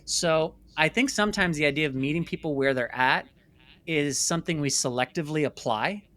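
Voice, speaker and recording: A man's voice: fairly high pitch, brisk, fairly bright tone. A man speaking as an interview guest in a conversational storytelling style, emphatic and repeating phrases for stress. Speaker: interview guest, filmmaker and former stage actor.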